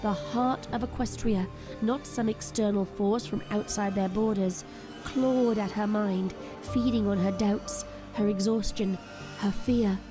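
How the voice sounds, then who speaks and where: Woman narrating a dark drama over music. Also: medium pitch, steady tone, unhurried.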